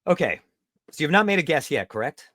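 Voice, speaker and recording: Male podcast host for casual quiz banter, playful and teasing, animated intonation, dry room-free sound. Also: a little high, fairly quick, dry recording. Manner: joking, teasing.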